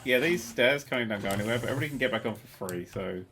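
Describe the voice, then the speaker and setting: A man's voice: mid-range voice, lively intonation, rapid-fire, creaky, noisy background. A young man from the south of England, chatting casually while streaming a game, teasing and swearing a lot. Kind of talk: casual livestream chat.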